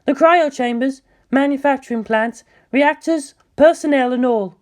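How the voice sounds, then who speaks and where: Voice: high voice, normal speed, pitched above the performer's own voice, lightly acted. A narrator voicing a weary woman scientist in clipped, precise speech for an audiobook. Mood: clinical briefing.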